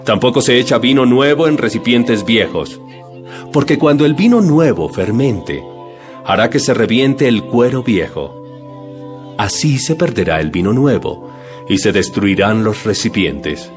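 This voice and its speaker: Audio Bible drama role: male actor as a calm, authoritative teacher, measured, mid-pitched and varied in melody, over music. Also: natural voice. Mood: instructive.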